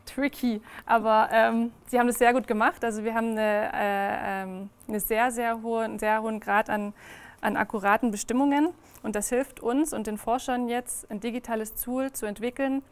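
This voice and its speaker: A young woman presents her projects to a small audience in an indoor room, with a slightly high voice. She talks haltingly, with frequent fillers and restarts. The sound is picked up by a room mic at some distance. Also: fairly even intonation, clean tone. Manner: hesitant, many fillers and restarts. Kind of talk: presentation talk.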